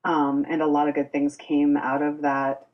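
A woman's voice: low voice, monotone, moderate pace, muffled recording. A young woman from Boston, a music blogger, chatting casually as a podcast guest with lots of fillers and restarts. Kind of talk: casual interview chat.

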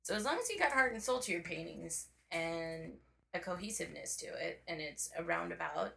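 A woman's voice: lowish voice, expressive, moderate pace, vocal fry, bright, forceful tone. A woman, a painter and podcast guest, chatting casually and playfully, encouraging the host. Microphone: single shared podcast mic.

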